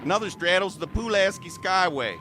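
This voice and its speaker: A man's voice: sits high, brisk, music in the background, close to an everyday voice. A man acting a grave, resolute military officer in a 1930s-style radio drama, speaking in formal, clipped declamation. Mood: grave, urgent. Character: grave, resolute military pilot and statesman in wartime crisis.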